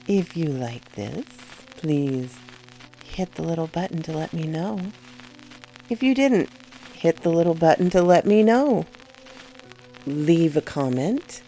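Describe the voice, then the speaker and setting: A woman speaking as a channel host, giving a soft-spoken sign-off to her listeners. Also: sits low, animated, takes it slowly, long pauses, faint music behind, rough recording.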